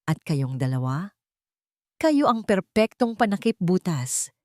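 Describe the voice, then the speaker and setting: A woman's voice: sing-song, unhurried, dry recording, clean studio sound. A woman storyteller voicing a haughty, mocking rich society woman with a contemptuous, taunting manner. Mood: cruel taunting. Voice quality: shrill.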